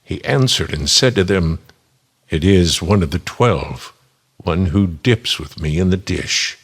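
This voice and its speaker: A man with a husky, gravelly voice giving a solemn, measured dramatic reading of scripture as a voice actor. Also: low voice, expressive.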